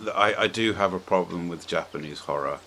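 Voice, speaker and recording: A man with a notably deep voice hosts a relaxed, casual discussion. He rambles tentatively, working out his thoughts aloud. Background noise is audible in the recording. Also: fairly quick. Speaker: podcast host. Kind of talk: casual film and game chat.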